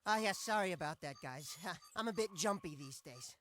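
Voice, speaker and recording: A man's voice: high-pitched, expressive, moderate pace, sharp tone, slight background noise. A man voicing a paranoid, fretful video game character, stammering nervously as he talks. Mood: sheepish. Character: jumpy, paranoid, fretful man at a mining operation. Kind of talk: animated video game acting.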